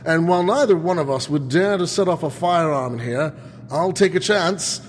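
A man's voice: fairly high pitch, normal speed, slight background noise, lightly acted. Man acting a pompous radio-drama villain in a big hall. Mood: smug menace. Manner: pompous, melodramatic. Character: theatrical villainous thief, a boastful museum society president. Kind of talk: old-time radio drama acting parody. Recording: long reverberant hall, music behind.

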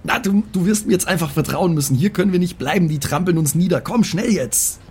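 A man's voice: high voice, brisk, darkish tone, a little hiss or hum, lightly acted. A man playing an insistent, stammering adventurer urging his friends on in an improvised fantasy tabletop audio game. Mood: urgent. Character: urgent, stammering adventurer leading friends to safety.

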